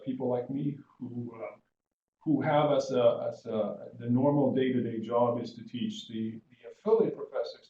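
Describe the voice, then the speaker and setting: Middle-aged man, from Sweden, creaky voice, halting professor presenting, muffled roomy recording. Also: mid-range voice, darkish tone. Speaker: business school professor presenting webinar. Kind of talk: informal slide presentation. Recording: muffled, echoey room, faint noise.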